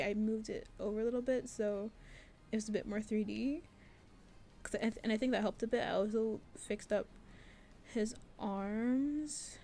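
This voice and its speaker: A woman's voice: on the high side, mellow. A young woman giving a casual, rambling voice-over about her own artwork in a self-deprecating, giggly way, peppered with "like.". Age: young adult. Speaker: artist and game maker narrating a devlog.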